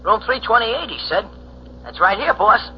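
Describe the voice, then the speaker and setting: A dim but eager American henchman-pal with a very high, animated voice and slangy, simple speech. It comes through a dull, loudly noisy 1940s radio recording. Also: normal speed. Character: dim, eager male sidekick to a detective. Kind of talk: 1940s radio comic sidekick acting.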